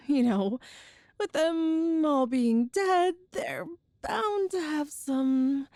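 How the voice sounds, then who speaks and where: A very high, unhurried young woman's voice performed by an actress, recorded close and dry. She is a tender, nervous girlfriend in an intimate ASMR roleplay, halting and earnest as she explains herself. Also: a little mellow, no room sound, exaggerated voice. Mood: sheepish. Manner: hesitant, halting, earnest, breathy sighs and nervous laughs.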